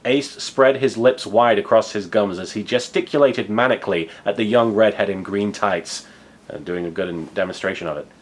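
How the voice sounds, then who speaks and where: A man narrating a comic story as host, articulate and theatrical, with casual asides. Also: mid-range voice. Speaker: host and fan fiction reader.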